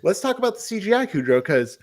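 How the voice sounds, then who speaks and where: A man's voice: high-pitched, big pitch swings, fast. Man chatting casually through a film review. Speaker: podcast host.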